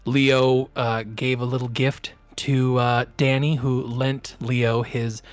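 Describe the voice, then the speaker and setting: A man's voice: mid-range voice, level tone, slow pace, no echo, soft background music. Man game master, dry close sound. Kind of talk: casual spoken recap of tabletop game session.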